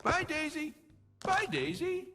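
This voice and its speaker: A man's voice: very high-pitched, slow pace, pushed and bright, exaggerated voice. A man voicing a kindly, whimsical nature spirit from a video game, earnest and sing-song. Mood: playful, excited. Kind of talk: video game character voice lines. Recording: game voice clips over music.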